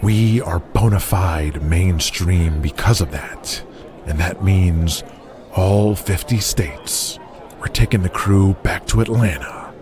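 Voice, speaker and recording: A man playing a polite, persuasive wrestling promoter who speaks deliberately, like a salesman, in an audio drama. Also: low-pitched, a little mellow, slight background noise. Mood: driven. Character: smooth, persuasive male wrestling promoter, courting a star. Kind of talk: audio drama character acting.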